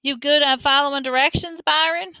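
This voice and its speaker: A woman's voice: sits high, steady tone, fairly quick, pushed and bright, no room sound, voice pushed up. A woman voicing a feisty, doting old grandmother with a Southern US accent in a story, exclamatory, folksy and bossy.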